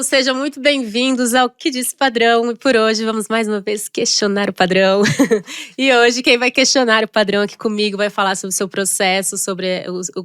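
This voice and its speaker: A woman hosting a podcast, upbeat and encouraging, with quick affirmations to her guest. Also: mid-range voice, a bit bright, smooth, no room sound, clean studio sound. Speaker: podcast host. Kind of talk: casual podcast interview.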